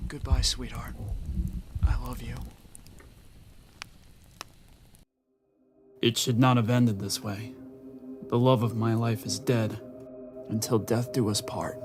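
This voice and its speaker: An actor playing a grief-stricken, reluctant husband in a motion comic, speaking in a grave, halting way. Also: male voice, mid-range voice, fairly even intonation, darkish tone, soft background music, lightly acted. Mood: grieving. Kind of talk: dramatic motion comic acting.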